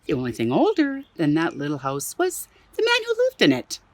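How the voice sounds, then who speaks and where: A woman from Canada reads a children's storybook aloud outdoors in her backyard. Her pitch swings widely as she hams up the story with playful exclamations, and birds chirp faintly behind her. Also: brisk. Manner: expressive, playful, adds exclaimed asides. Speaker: museum storyteller. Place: own backyard.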